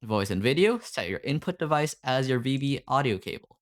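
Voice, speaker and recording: A man's voice: mid-range voice, big pitch swings, brisk. A man mentoring on a call, walking through his audio setup in a loose, filler-heavy way and asking "right?" after nearly every point, speaking into a desktop condenser mic at home. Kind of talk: casual screen-share tutorial on a call. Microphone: desktop USB condenser mic.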